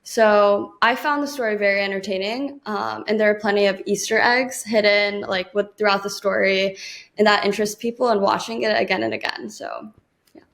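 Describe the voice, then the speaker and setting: A woman being interviewed on a podcast, answering in a measured, explanatory way. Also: medium pitch, fairly even intonation, gravelly, a bit bright.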